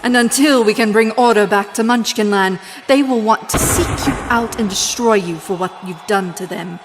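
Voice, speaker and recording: A woman's voice: medium pitch, background noise, close to an everyday voice. An actress playing a kindly, commanding good witch who speaks formally in an audio drama. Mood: grave, explaining. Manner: authoritative, formal, measured. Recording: music under the voice.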